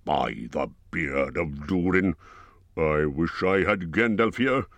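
A man voicing a proud, wrathful male dwarf king, speaking slowly and forcefully. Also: low voice, dry recording. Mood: furious. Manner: slow, stern, forceful delivery.

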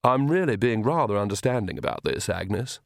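A man's voice: on the low side, expressive, normal speed, vocal fry, no room sound. A man voicing a suave, self-assured young male vampire with an urbane, patiently condescending manner for an audiobook. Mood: smooth, persuasive. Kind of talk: audiobook character voice.

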